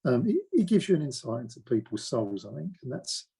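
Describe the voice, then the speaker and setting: Husky, mellow man who stammers. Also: medium pitch, very animated, a little fast. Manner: stammers, with repeated words and blocked sounds; hesitant, many fillers and restarts. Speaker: interviewee. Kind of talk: reflective personal account.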